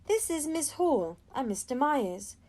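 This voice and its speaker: A woman voicing a brisk, organised schoolmistress in a children's storybook. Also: sits high, big pitch swings, a little mellow, pitched above the performer's own voice. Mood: brisk, organising.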